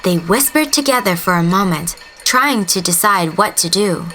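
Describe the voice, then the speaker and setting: A woman storyteller reading a scripted children's tale expressively, with deliberate dramatic emphasis. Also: mid-range voice, expressive, fairly bright tone, soft background music.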